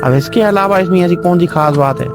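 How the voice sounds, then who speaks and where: A man's voice: high voice, a little fast, music in the background. A man playing a rustic, plain-spoken watchman in an audio story, matter-of-fact and obliging. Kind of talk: dramatic audio story acting.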